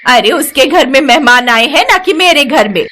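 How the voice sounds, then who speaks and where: A woman's voice: sits high, animated, normal speed, bright, forceful tone. A woman voicing a bitter, taunting old mother-in-law in a dramatised moral story, nagging theatrically. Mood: scornful. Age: older. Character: bitter, scolding old mother-in-law berating her daughter-in-law.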